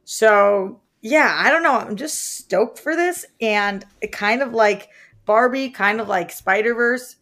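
A woman's voice: on the high side, slow pace, fairly bright tone. A woman co-hosting a movie show, chatting in an informal, slangy way about films. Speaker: co-host of a movie news show. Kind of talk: casual movie discussion.

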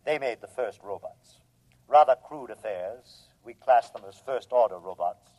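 An actor playing an arrogant humanoid robot from another planet in a 1950s radio drama, speaking formally and precisely with condescension. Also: male voice, mid-range voice, lively intonation, moderate pace, mellow, close to an everyday voice. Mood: proud, superior. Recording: dull vintage radio recording.

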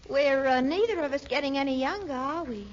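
An actress playing a coquettish, sugary middle-aged widow in 1940s radio comedy, coy and cooing. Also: female voice, sits high, fairly quick, lightly acted. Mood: coy, hinting. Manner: coy, cooing, hesitant hints. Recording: muffled, noisy old radio recording.

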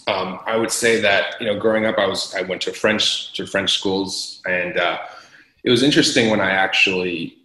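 A young man from Los Angeles talks in a very deep voice with a bright, forceful edge. He chats casually as an interview guest, laughing often and leaning on fillers. The room sounds echoey around him. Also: moderate pace. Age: young adult. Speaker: entrepreneur guest.

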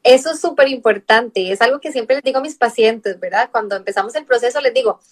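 A woman's voice: a little high, fast. A young adult woman from Costa Rica with a Costa Rican accent, a nutritionist guest explaining patiently and often tagging sentences with a questioning aside. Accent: Costa Rican Spanish. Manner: explanatory, fills with rhetorical "¿verdad?". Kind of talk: conversational interview.

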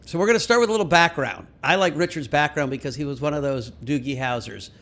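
A man's voice: fairly high pitch, fast talker, fairly bright tone. Man hosting a casual interview. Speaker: host.

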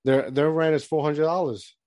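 A man's voice: a little high, steady tone, normal speed, darkish tone, dry recording. Rambling man from Puerto Rico, dry sound. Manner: rambling, with many restarts and fillers. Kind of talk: casual chat.

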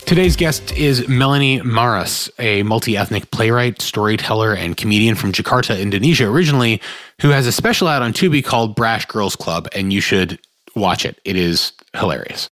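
A man with a lowish voice hosts a podcast and opens it with an earnest, reflective scripted introduction. Soft music plays faintly beneath him. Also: animated, moderate pace, no echo. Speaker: podcast host.

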